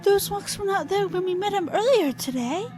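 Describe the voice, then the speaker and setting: A woman's voice: very high-pitched, animated, moderate pace, over music. A woman playing an indignant, earnest young travelling companion in an audio drama, speaking emphatically. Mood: worried.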